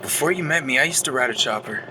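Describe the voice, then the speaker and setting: A man's voice: mid-range voice, fast, sharp tone, slight background noise, low-quality recording. A man playing a teasing, joking husband trading banter in an audio drama. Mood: playful. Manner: joking, chuckling.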